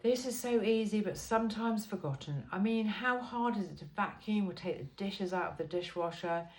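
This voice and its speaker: A woman's voice: medium pitch, lively intonation, moderate pace, poor audio. A woman reading a self-help book aloud in an informal, blunt and emphatic way.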